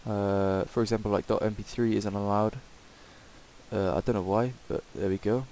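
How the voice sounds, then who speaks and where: A man giving a casual, improvised software tutorial in a hesitant, rambling way. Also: on the low side, fairly even intonation, moderate pace. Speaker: tutorial presenter. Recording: hissy home microphone recording.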